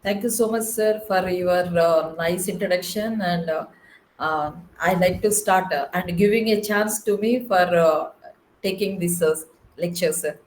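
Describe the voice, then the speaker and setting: A middle-aged woman speaks as a guest lecturer on a video call. Her voice sits fairly low, and her halting, polite delivery keeps pausing on fillers. The sound bounces around an echoey room. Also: a little hiss or hum. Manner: halting, many pauses on uh, polite. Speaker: guest lecturer, associate professor. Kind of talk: online academic lecture.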